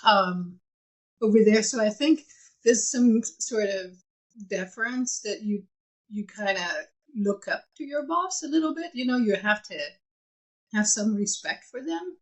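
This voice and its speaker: A woman co-hosting a podcast, answering in casual conversation with measured, thoughtful replies. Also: mid-range voice, lively intonation, poor audio. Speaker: podcast co-host.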